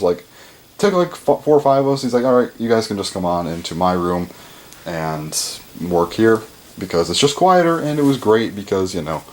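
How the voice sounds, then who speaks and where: A seventeen-year-old young man rambling casually, with lots of fillers and the odd swear, his pitch jumping up when he stresses a word. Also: a little hiss or hum. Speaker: vlogger.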